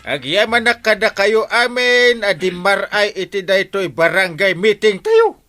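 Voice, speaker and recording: A man acting an excitable, bossy, folksy village captain in an Ilocano comedy radio drama, with an Ilocano accent and exclamatory delivery. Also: sits high, faint music behind, exaggerated voice. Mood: excited, jovial. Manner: exclamatory, starts phrases with drawn-out interjections. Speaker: lead comic character.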